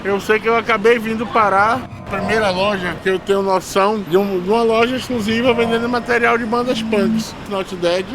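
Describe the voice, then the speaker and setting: A man's voice: high voice, steady tone, normal speed, hardly any pauses, background noise. Reflective man in his fifties. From Salvador, Bahia, Brazil. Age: 50s. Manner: reflective, plainspoken, casual swearing. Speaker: music producer. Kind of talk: documentary interview storytelling.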